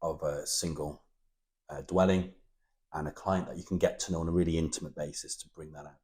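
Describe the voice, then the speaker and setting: A man's voice: very deep voice, steady tone, fairly quick, darkish tone. A man interviewing an architect, asking open questions in an admiring, encouraging way. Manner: admiring, encouraging, with many short affirmations.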